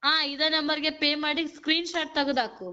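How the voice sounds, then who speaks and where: Fast pushy high-voiced woman recruiter on phone. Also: fairly even intonation, bright, forceful tone. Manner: pushy, repeats "okay?" to hurry the listener. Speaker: job recruiter on a phone call. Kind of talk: phone sales pitch. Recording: muffled phone call recording.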